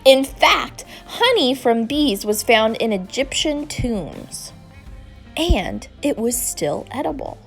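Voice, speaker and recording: A woman reads a children's story aloud with an expressive delivery. Her voice is on the high side and swings widely in pitch. She takes her time, with music playing softly behind her. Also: gravelly. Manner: deliberate, animated, acting out dialogue. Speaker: storybook reader.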